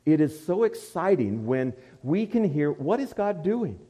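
A man's voice: on the high side, big pitch swings, measured pace, dark tone. A man speaking as a pastor at a church service, introducing visiting missionaries with light joking.

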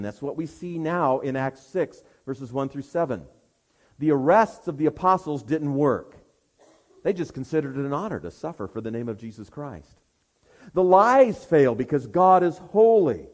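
Male preacher with widely swinging pitch. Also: darkish tone. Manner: emphatic, rhetorical questions, dramatic pauses. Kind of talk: sermon, expository Bible preaching.

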